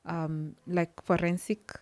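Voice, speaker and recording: Woman on a podcast, talks earnest, lots of restarts, kinda low voice, dry sound. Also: little pitch movement, slow pace, darkish tone, no room sound. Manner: earnest, searching for words with frequent restarts. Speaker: podcast guest, psychology worker. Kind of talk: personal testimony in a podcast interview.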